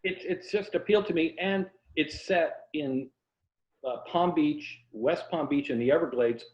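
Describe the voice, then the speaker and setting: A man's voice: a little high, measured pace, darkish tone. An American man speaking reflectively and anecdotally in a casual interview, with a self-deprecating way of talking about his writing. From Florida, USA. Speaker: interview guest, fiction writer and former journalist. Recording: echoey room, slightly dull lo-fi audio.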